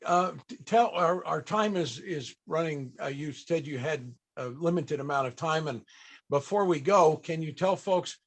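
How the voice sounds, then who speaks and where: Halting male interviewer asking questions. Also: fairly high pitch. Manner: halting, hesitant phrasing. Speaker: host, interviewer. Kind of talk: casual interviewing.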